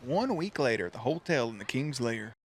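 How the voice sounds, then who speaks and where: A man hosts a reaction video in a casual, playful way. His voice sits at an average pitch, and he talks in loose slang with plenty of swearing and goofy asides. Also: very animated, a little fast. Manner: jokey, playful, slangy and profane.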